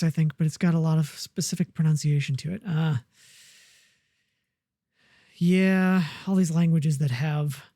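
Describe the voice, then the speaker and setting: A woman plays through a survival game and chats to herself in a slow, unhurried ramble. Her voice sits low and mellow. The sound is dry and close, with no room around it. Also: no room sound. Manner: relaxed, muttering to herself while building. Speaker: gamer. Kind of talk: casual let's-play commentary.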